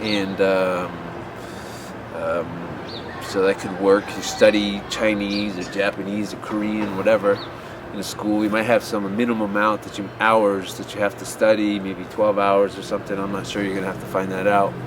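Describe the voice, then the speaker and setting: A man with a lowish voice gives unscripted advice while he rambles, fills gaps with "um" and "uh" and restarts his sentences. He is recorded outdoors in a city, and clear traffic and street noise run behind him. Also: level tone, moderate pace. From USA. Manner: rambling, many fillers and restarts. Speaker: English teaching advice vlogger. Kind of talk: unscripted advice talk to camera.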